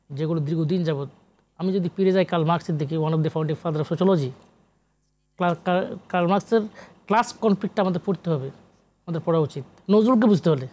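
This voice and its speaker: A man's voice: high-pitched, warm tone. A Bangladeshi man speaking as an interview guest, a professor explaining emphatically in a lecturing way and mixing in English academic terms. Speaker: guest, professor and literary researcher. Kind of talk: animated TV interview discussion.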